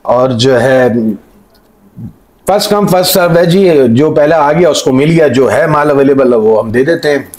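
A middle-aged man with a Pakistani accent giving an informal sales pitch as a phone seller, often repeating filler phrases as he lists things. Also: moderate pace, darkish tone. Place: indoor room with display table.

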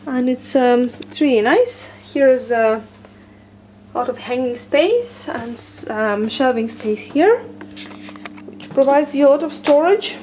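High-voiced woman giving a slow apartment tour. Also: lively intonation, highs cut off, slight background noise. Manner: hesitant, many ums, enthusiastic descriptions. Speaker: property tour presenter. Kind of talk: walkthrough tour narration. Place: small loft studio apartment, indoors. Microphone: camera mic, handheld camera.

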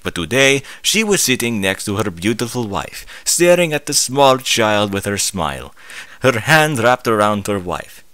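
A man's voice: fairly low pitch, very animated, measured pace, fairly bright tone, no room sound. A man reading a story aloud in a dramatic narration, breaking off for mocking, incredulous asides. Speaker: fan fiction reader.

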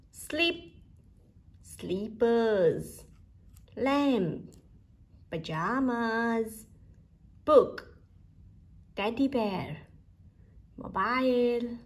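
A woman presenting a read-aloud for toddlers, saying single words and short phrases deliberately, with pauses between them. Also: on the high side, expressive.

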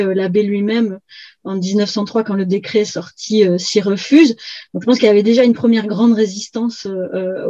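A middle-aged woman speaking haltingly in an academic discussion, repeating words as she searches for phrasing. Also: medium pitch, mellow, no room sound. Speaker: historian and book co-author being interviewed. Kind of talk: academic discussion on a video call. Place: indoors on a video call, virtual background. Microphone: computer video call. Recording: slightly muffled video-call audio.

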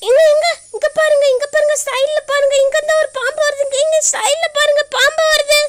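A woman voicing a timid, fretful young village wife in an animated story. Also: extremely high pitch, moderate pace, slight background noise. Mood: panicked. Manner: fretful, pleading, repetitive.

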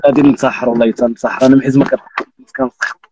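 A man's voice: medium pitch, flat delivery, very fast, muffled recording, noisy background. A Moroccan man in his thirties hosting a live stream, teasing and telling jokes with frequent oaths. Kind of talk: joking casual chat. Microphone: phone on live video call.

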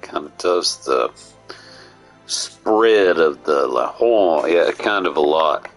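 Man, very deep, gravelly, slow, halting game chatter, faint music behind. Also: lively intonation, rough recording. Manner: musing, halting, reads text aloud. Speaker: streamer playing a game. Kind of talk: casual live game commentary and voicing characters.

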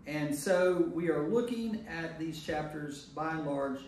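A man's voice: on the high side, expressive, a little slow, darkish tone. Man, Bible study leader, informal teaching, rhetorical questions, distant mic, heavy room echo. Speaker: priest leading a church Bible study. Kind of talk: informal teaching to a discussion group. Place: reverberant room at a church group meeting. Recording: very echoey room, distant mic, faint noise.

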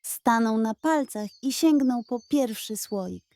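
A woman's voice: a little high, takes it slowly, darkish tone, no room sound. A woman narrating a scripted bedtime fairy tale for children as the storyteller.

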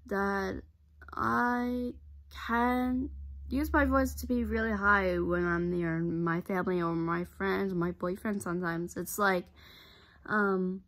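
A woman's voice: steady tone, slow, fairly bright tone. Rambling young woman vlogging in her bedroom. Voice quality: low voice. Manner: rambling, repeats herself, earnest. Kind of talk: casual talk to camera. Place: bedroom, indoors. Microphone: device camera at close range.

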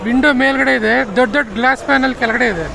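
A man's voice: very high voice, fairly even intonation, racing, creaky. An Indian man giving casual running commentary as a travel vlogger on a bus journey. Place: on a bus and at bus stands. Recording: loud vehicle and street noise.

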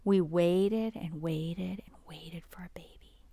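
A woman reading a bedtime picture book aloud in a gentle, expressive, unhurried storybook manner. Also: on the low side, slightly rough, no echo.

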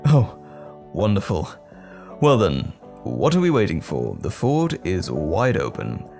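A man's voice: sits low, huge pitch swings, measured pace, gravelly, darkish tone, exaggerated voice. Guy playing a sweet caring boyfriend in an audio roleplay, super swoopy tender voice, faint music behind. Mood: playful, affectionate. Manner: tender, reassuring, playful, uses pet names. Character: loving, attentive boyfriend comforting his troubled partner.

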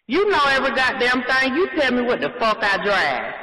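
A man's voice: very high voice, very animated, brisk, bright, forceful tone. A man arguing heatedly on a phone call, profane and confrontational. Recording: echoey phone call, long reverb.

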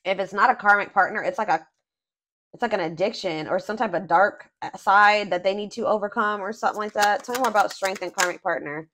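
A woman giving a casual tarot reading off camera, thinking aloud with fillers and restarts, her voice a little hoarse. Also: medium pitch, brisk, a bit bright. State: voice going hoarse. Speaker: tarot reader.

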